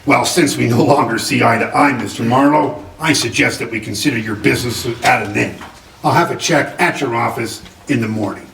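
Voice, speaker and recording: Man acting proud older patriarch, gravelly, formal, curt, radio drama, echoey room. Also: medium pitch, a little fast, close to an everyday voice. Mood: stern, dismissive. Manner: formal, dismissive. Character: imperious, wealthy older uncle, curt and proud. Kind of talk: 1940s radio drama reading. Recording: echoey room, distant mic, faint noise.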